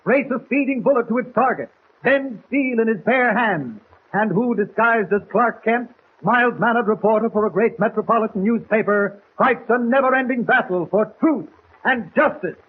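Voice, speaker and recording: Very high-pitched declamatory vintage radio announcer, man. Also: normal speed. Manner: declamatory, dramatic. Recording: tinny, noisy 1940s radio recording.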